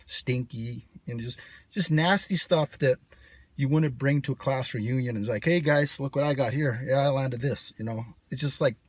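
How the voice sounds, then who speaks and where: A man's voice: mid-range voice, no echo. A man from the United States talking in a brash, sarcastic, rambling monologue to camera. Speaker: channel host. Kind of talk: casual vlog monologue.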